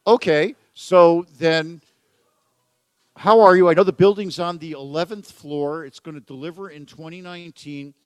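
A male radio host with a slightly raised pitch chats in an easy, joking way, often at his own expense. The sound is dry and close, with no room echo. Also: fairly even intonation, normal speed, a little mellow, clear, resonant tone. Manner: jokey, self-deprecating, chatty. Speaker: radio talk show host. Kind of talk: casual chat. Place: event venue with photographers.

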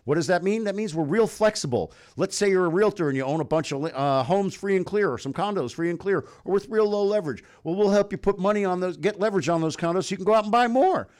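A man hosting talk radio, pitching and interviewing in an informal, folksy, direct salesman's way. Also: animated, fast, hardly any pauses, a little mellow. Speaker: radio host and mortgage lender.

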